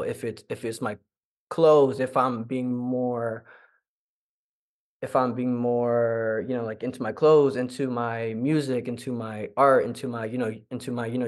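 Young male guest who stutters; halting, filler-heavy speech in an even, level tone. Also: medium pitch. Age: young adult. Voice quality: stutters with repetitions. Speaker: interview guest. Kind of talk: conversational interview.

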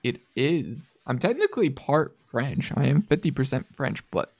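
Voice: mid-range voice, very animated, moderate pace, darkish tone. A livestream host chatting casually with a guest in an informal, encouraging way. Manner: informal and encouraging, with 'man' interjections. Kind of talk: casual chat.

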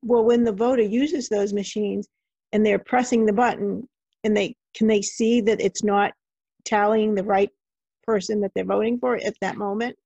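A woman's voice: mid-range voice, fairly even intonation, normal speed, vocal fry, dark tone, husky, dry recording. A woman acting as interviewer, asking questions in a conversational style. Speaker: interviewer, host. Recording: dull, lo-fi, dry audio.

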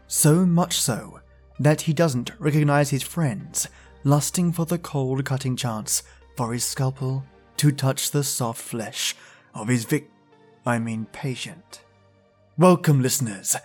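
A man hosting a podcast, reading scripted spooky storytelling narration in a theatrical way with dramatic pauses. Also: medium pitch, a little mellow.

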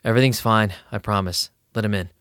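A man's voice: on the low side, steady tone, quick pace, fairly bright tone, no echo, clean studio sound, close to an everyday voice. An actor playing a weary, rattled vampire club DJ who narrates his night in a wry first-person audio drama style. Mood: uneasy, wry. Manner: wry, sardonic. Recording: music behind the voice.

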